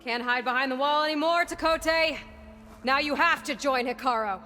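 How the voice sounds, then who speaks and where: A woman's voice: high-pitched, normal speed, pushed and bright. A woman playing a determined, self-reliant young warrior heroine in a video game, in a naturalistic style. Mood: defiant.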